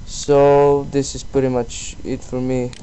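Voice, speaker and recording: Guy doing a casual how-to screen recording, mellow voice, kinda halting, some steady noise behind him. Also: medium pitch, rough recording. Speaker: tutorial presenter. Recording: steady background noise on voice-over.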